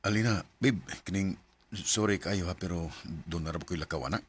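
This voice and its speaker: An actor playing an evasive, casual young boyfriend in a Bisaya radio drama, speaking with a Cebuano Filipino accent. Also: male voice, deep voice, moderate pace, slightly rough. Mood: apologetic, evasive.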